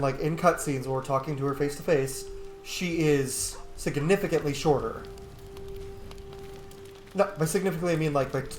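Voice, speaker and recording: A man giving casual gameplay commentary as a streamer, informal, with swearing and joking asides. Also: on the high side. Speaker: game streamer. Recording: roomy echo on voice. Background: faint game music.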